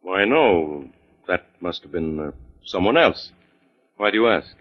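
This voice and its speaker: A man's voice: deep voice, animated, a little slow. An actor playing an evasive, polite and formal showman who manages a psychic, in a 1940s radio drama. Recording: dull 1940s radio recording.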